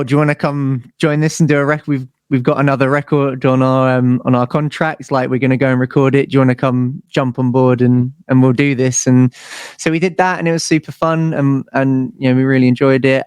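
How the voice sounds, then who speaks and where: A British man speaks casually as an interview guest, in a slightly low voice. He rambles informally through his stories like a musician among peers. The sound is dry, with very little room to it. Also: a little mellow, clear, resonant tone, dry recording. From United Kingdom.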